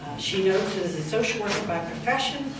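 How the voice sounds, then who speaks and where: A woman's voice: medium pitch, lively intonation, fairly quick. A woman introducing a speaker at an event, reading with frequent "uh"s. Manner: reads with frequent fillers. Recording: echoey room, distant mic, loud noise.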